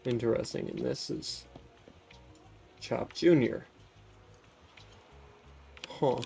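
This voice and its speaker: A man streams a video game and talks to his viewers in a casual, musing way. He takes his time, and his voice often drops into a creaky fry. Soft music plays faintly behind him. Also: mid-range voice, extremely slow. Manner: musing aloud, chatting to viewers.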